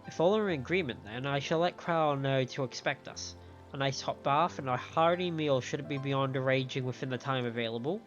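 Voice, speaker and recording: Male game streamer, mid-pitched, measured read-aloud and commentary, slightly muffled stream sound over music. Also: a bit bright. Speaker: livestream host playing and reading a game story aloud. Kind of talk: live game commentary, reading dialogue aloud.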